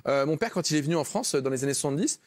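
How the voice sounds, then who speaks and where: A man's voice: fairly high pitch, lively intonation, rapid-fire, dry recording, clean studio sound. A man speaking as a panelist, earnestly arguing a point in a political debate.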